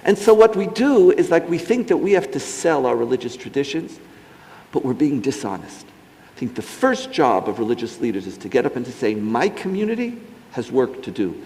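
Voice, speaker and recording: A man's voice: medium pitch, big pitch swings, brisk, darkish tone. A man, a rabbi on a panel, speaking emphatically and outspokenly. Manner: emphatic, outspoken, mocking in asides. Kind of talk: impassioned panel talk. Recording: reverberant hall.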